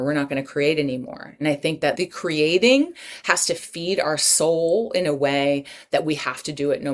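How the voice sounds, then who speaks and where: A woman, a breathwork practitioner and writer, talking as a podcast guest in a passionate, rambling way full of asides and the odd swear word. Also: deep voice. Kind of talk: podcast interview talk.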